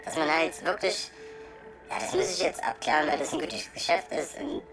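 Female interviewee, deep-toned, casual and hesitant, tag questions, music underneath. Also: big pitch swings, gravelly. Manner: colloquial, halting, trailing tag questions. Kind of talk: casual, candid interview talk.

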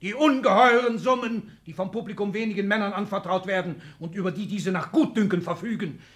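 An ailing but tyrannical old tycoon in a 1960s radio play. His voice sits on the high side, and he declaims in clipped, imperious phrases. Sudden outbursts break through the stern lecturing. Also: brisk, fairly bright tone. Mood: insistent lecturing. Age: older. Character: ailing, domineering old industrialist patriarch lecturing his children.

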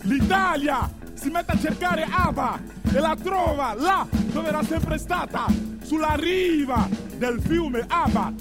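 A male stage storyteller speaks in a strikingly high voice at a slow, deliberate pace. His delivery is declamatory and theatrical, sometimes close to singing. A live band with drums and strings plays prominently behind him. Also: expressive. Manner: declamatory, short deliberate phrases. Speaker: stage narrator. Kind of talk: theatrical storytelling, half-sung at times. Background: live band music with drums and strings.

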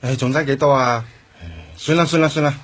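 An actor playing a grumpy, impatient taxi driver in a radio drama, with a rough, husky voice and Hong Kong Cantonese speech. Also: male voice, mid-range voice, fairly even intonation, brisk, a bit bright, lightly acted. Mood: impatient, resigned.

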